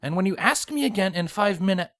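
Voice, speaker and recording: A man's voice: sits high, animated, brisk, fairly bright tone, no room sound, pitched above the performer's own voice. A man voicing a wisecracking, grumbling pony sergeant in a story, sarcastic, whiny and emphatic. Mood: exasperated, defensive.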